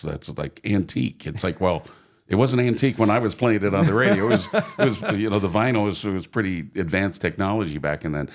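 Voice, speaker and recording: A man in his sixties from Oregon, a longtime radio broadcaster, talking casually as a guest, self-deprecating, laughing easily and repeating words as he goes. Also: sits low. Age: 60s. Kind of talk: casual interview talk.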